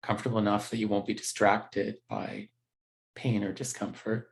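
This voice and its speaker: A man teaching a guided meditation in a gentle, unhurried, conversational way with halting pauses. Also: on the low side, level tone, creaky.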